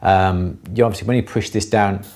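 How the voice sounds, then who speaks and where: A man's voice: lowish voice, fairly even intonation, brisk, darkish tone. A middle-aged man with a Welsh accent, explaining hands-on craft work casually to a live audience and repeating words for emphasis.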